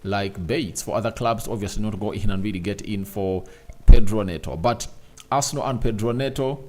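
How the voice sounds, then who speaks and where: A man's voice: lowish voice, normal speed, a little mellow. A man hosting a casual football transfer news show, rambling and talking straight to his viewers. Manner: rambling, repeats "obviously" and "I tell you", talks to viewers directly. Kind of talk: casual solo commentary.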